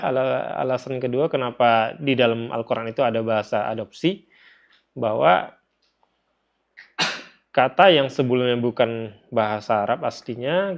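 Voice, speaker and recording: A man with an Indonesian accent teaching in an informal lecture style, explaining step by step, repeating phrases and posing questions to his listeners. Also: mid-range voice. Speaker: religious teacher. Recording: dull, lo-fi audio.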